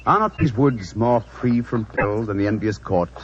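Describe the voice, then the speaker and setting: An actor playing a cultured English detective, urbane and eloquent, in theatrical 1940s radio style. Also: male voice, on the low side, lively intonation, moderate pace, close to an everyday voice. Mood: lyrical, reciting. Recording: noisy, muffled 1940s radio recording.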